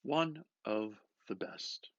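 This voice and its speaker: A man reading a novel aloud with expressive, dramatic narration, musing and often dropping into a hushed murmur. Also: fairly low pitch, very slow, no room sound, highs cut off. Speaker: reader. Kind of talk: expressive literary audiobook narration.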